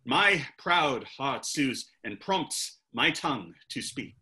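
A man playing a hot-blooded Elizabethan playwright with a bright, lively voice that rises and falls widely. He declaims archaic verse with ardour and snaps into hissed insults. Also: medium pitch, slow pace, full character voice. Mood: pleading. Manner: declaims archaic verse, swings to furious hissing. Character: passionate young Elizabethan playwright, hot-tempered. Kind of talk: audio drama acting.

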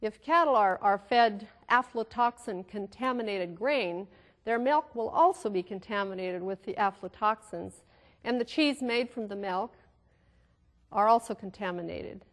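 A woman's voice: medium pitch, fairly bright tone. Middle-aged woman hosting a cooking lesson. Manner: measured, informative delivery with occasional fillers. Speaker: cooking show host. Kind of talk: scripted health lesson to camera. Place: TV studio kitchen set, indoors. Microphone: lapel mic.

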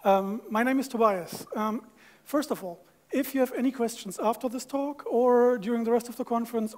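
A man's voice: high-pitched, darkish tone. A man in his thirties with a German accent, giving a friendly, joking tech talk on stage in a large auditorium, with a headset mic. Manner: friendly, joking, fast-talking with fillers. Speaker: conference speaker.

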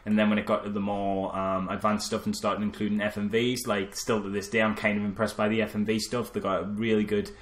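A man's voice: fairly low pitch, level tone, fairly quick, hardly any pauses. A man co-hosting a casual film review podcast, telling anecdotes in a jokey, sweary way. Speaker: podcast co-host.

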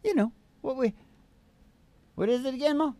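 A man's voice: extremely high pitch, normal speed, mellow, dry recording. An older man in casual, folksy, rambling stage banter, speaking into a stage microphone. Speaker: podcast host and country musician. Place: small curtained stage indoors. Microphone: stage microphone on stand. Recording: guitar accompaniment, live stage sound.

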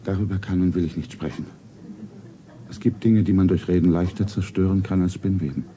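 A man playing a suave, low-voiced rich suitor. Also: fairly quick, mellow, lightly acted. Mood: courtly, solemn. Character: rich worldly suitor, shy and romantically solemn. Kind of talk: 1970s German radio play acting. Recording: noisy 1970s radio play recording.

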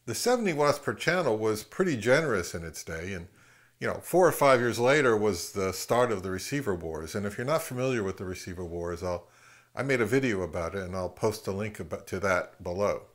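A man's voice: lowish voice, expressive, a little fast. A man, giving a conversational product review as an audio gear reviewer.

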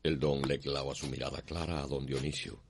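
A man's voice: very deep voice, little pitch movement, fairly quick, creaky, slight background noise. A man with a Cuban accent giving dramatic scripted narration as the narrator of a radio soap opera. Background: music.

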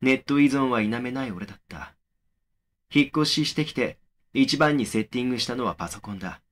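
Man acting a serious young horror narrator, medium pitch. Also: lively intonation, lightly acted. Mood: serious, suspenseful. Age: young adult. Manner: measured, serious storytelling. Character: young man grimly recounting his eerie experience. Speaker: first-person narrator of a horror story. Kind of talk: scripted narration in a horror audio drama.

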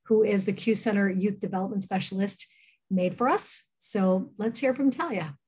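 A woman's voice: mid-range voice, moderate pace, a little mellow, slightly rough. A woman hosting an event, briefly introducing a video on a webcam call. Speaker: event host.

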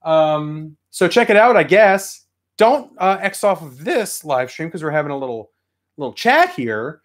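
A man's voice: a little high, big pitch swings, unhurried. A man in his thirties, getting over a cold, chatting casually to a live stream chat from a toy-filled room at home. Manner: rambling, chatty, reads chat aloud. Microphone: webcam at desk.

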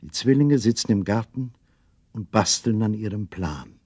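A man narrating a children's radio play as an expressive storyteller with scripted delivery. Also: fairly low pitch, normal speed, breathy edge, no room sound, studio quality. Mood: storytelling.

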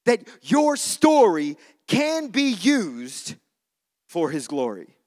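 A man's voice: high voice, huge pitch swings, takes it slowly, a little mellow. A man preaching a church sermon in an informal, conversational way, repeating phrases for emphasis. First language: not English (says English is not his first language).

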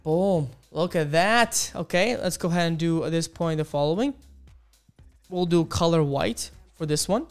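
A man's voice: moderate pace, clean tone, faint music behind. A young man teaching coding live on stream, upbeat and exclamatory, chatting with viewers as he types. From Ukraine. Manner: upbeat, exclamatory, keeps saying boom and beautiful. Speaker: coding instructor on livestream. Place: small home studio. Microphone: studio mic on arm with headphones.

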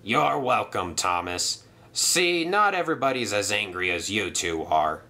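A man's voice: lowish voice, very animated, measured pace, sharp tone, heavily acted. A man doing a grumpy, dismissive crane. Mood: offhand. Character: grumpy male dockyard crane.

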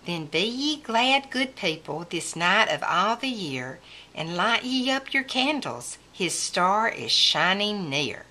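A woman reading a children's picture book aloud in a deliberate manner, as if counting along with young listeners. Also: mid-range voice, very animated, pushed and bright.

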